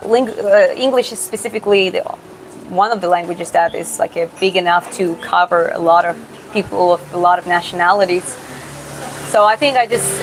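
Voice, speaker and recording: Georgian-accented young woman, halting chat. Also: fairly low pitch, a little hiss or hum, low-quality recording. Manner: hesitant, restarts words, thinks aloud. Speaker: creator answering viewer questions. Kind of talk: casual Q&A. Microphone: phone or camera close up.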